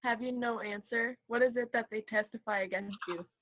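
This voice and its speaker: A woman reading the part of a stern, accusing high priest in a church Passion reading, over a muffled connection. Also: fairly even intonation, a little fast, lightly acted. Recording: muffled, phone-like sound.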